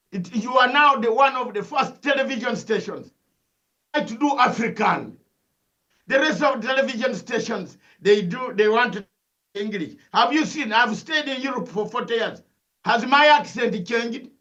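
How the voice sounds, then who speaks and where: An older Ugandan-accented man with a very high, bright, forceful voice, lecturing with fervour and piling up rhetorical questions. He comes through a dull, lo-fi remote link. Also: unhurried. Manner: emphatic, preachy, full of rhetorical questions and repetition. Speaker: analyst guest. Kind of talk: impassioned interview commentary.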